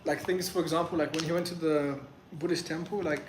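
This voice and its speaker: Young man, first language Pashto, easygoing live-stream host; rambling, filler-heavy delivery; echoey room with steady background noise. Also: a little high, a little fast. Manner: loose and chatty, lots of "like" and restarts. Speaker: livestream host answering chat questions. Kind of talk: casual live Q&A. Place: in Medellín, Colombia.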